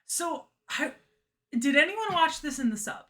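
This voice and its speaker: A woman's voice: high-pitched, expressive, measured pace, sharp tone. A woman co-hosting a casual anime discussion, chatty and full of "like" fillers. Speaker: podcast co-host.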